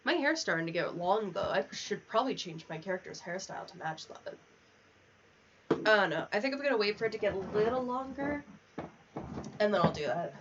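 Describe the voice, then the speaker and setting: A woman's voice: mid-range voice, lively intonation, a little fast, slight background noise. A young woman chatting casually on a gaming livestream, rambling from one aside to the next. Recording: distant, slightly muffled mic.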